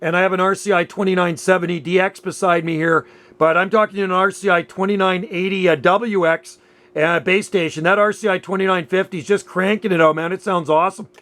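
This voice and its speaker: High-voiced, folksy older man chatting. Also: fairly even intonation, fairly quick, a bit bright. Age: middle-aged or older. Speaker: radio operator. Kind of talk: casual radio chat.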